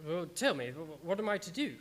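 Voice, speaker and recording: An actor playing an earnest, haunted doctor, narrating his grim diary in a formal, measured, theatrical way for a radio play. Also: fairly high pitch, big pitch swings. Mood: apprehensive.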